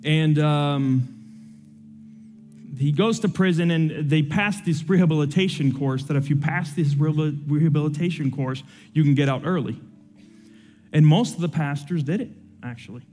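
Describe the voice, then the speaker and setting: Young man preacher, earnest, emotional, conversational; slightly higher voice. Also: moderate pace, mellow, long reverb tail, soft background music. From Cuba. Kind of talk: sermon with personal testimony.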